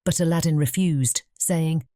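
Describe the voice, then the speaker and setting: A woman narrating a scripted fairy tale as an audiobook, in a measured storytelling manner. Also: sits low, warm tone, dry recording, clean studio sound.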